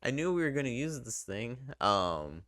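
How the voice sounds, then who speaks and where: A man's voice: medium pitch, big pitch swings, normal speed, fairly bright tone, dry recording, clean studio sound. A man giving a casual, conversational video game review in an informal, wry way.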